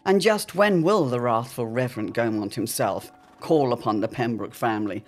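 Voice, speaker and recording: Old timey radio serial narrator, dramatic and formal, bit of music under it. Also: mid-range voice.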